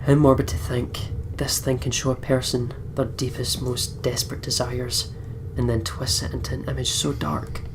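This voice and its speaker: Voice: medium pitch, flat delivery, moderate pace, hardly any pauses, background noise. A let's play host reading game narration aloud dramatically, with casual, sweary commentary.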